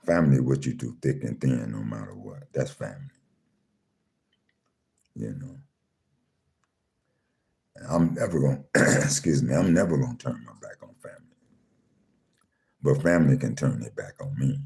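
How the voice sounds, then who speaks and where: A man with a very deep voice hosting a live chat room, calmly greeting listeners one by one and talking in a style. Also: vocal fry. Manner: slow, calm, repeats himself, reads names off a screen.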